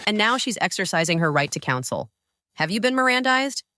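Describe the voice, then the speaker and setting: An actress playing a poised defense attorney in a noir audio drama, formal and deliberate with dry, cutting sarcasm. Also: female voice, medium pitch, big pitch swings, sharp tone. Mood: cool, commanding.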